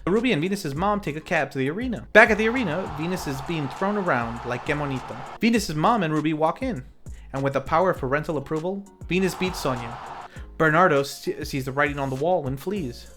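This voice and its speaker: A man's voice: a little high, animated, fairly quick. A man hosting a film review series, reading a scripted recap with playful, self-mocking commentary. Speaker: host and narrator of a retro lucha libre film review series. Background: music.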